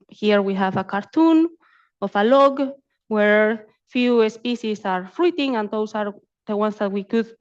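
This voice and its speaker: A woman's voice: medium pitch, smooth, lo-fi sound. A woman presenting research at a conference, speaking as an academic and often repeating words as she goes. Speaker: invited academic speaker, community ecologist. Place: symposium talk.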